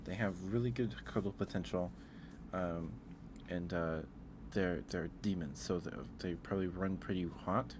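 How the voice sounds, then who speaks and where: A man doing a casual, rambling ASMR livestream, speaking in a hesitant, musing way and repeating himself over clear background noise. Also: low-pitched, steady tone, normal speed. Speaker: live streamer.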